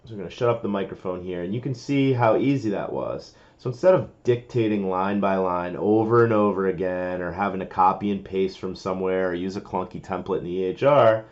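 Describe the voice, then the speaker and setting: Man, low voice, casual tutorial presenter, informal step-by-step explaining, slightly dull lo-fi recording. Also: a little mellow. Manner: informal, explanatory, talking through each step.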